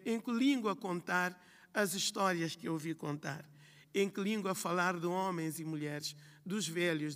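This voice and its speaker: A woman from Guinea-Bissau with a Guinea-Bissau Portuguese accent, a writer reading a measured, reflective prepared speech on a festival panel. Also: on the low side, a bit bright. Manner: measured, reflective, listing phrases in rhythm. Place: large hall at a literary festival. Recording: long hall reverb.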